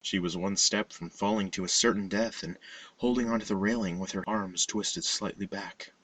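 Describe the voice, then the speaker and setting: A man reading a scripted horror story as narrator, in a measured, dramatic storytelling manner. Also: deep voice, steady tone, fairly bright tone, breathy edge, no room sound, far from the mic. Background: music.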